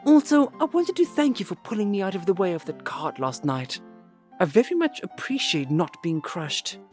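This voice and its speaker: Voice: mid-range voice, big pitch swings, moderate pace, a little mellow, soft background music, lightly acted. An actor playing a polite, eager alien host with formal, precise phrasing in an audio drama. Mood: grateful.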